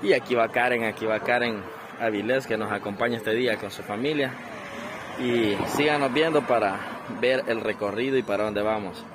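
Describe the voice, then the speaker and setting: A man's voice: medium pitch, steady tone, normal speed. A man with a Salvadoran accent chatting casually as a travel vlog host, talking to viewers and companions. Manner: informal, addressing viewers and companions. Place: roadside stop and restaurant, on location. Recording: loud music, busy crowd and vehicle noise.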